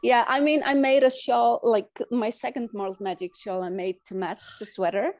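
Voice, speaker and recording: A woman's voice: a little high, steady tone, moderate pace, a little mellow. A woman, a guest chatting casually on a video call through a laptop mic, laughing easily and restarting her sentences. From Iceland. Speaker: guest test knitter. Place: home room indoors. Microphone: laptop webcam mic.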